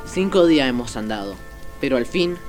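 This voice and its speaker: A man's voice: mid-range voice, lively intonation, brisk, darkish tone, natural voice. A man playing an earnest, concerned young hero on a quest, in a radio drama. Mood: determined. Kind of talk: Spanish-language radio drama acting. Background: faint music, rain.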